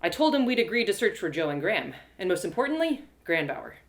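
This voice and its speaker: Female storyteller for first-person fantasy narration, expressive and dramatic, mid-pitched with lively intonation. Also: fast talker.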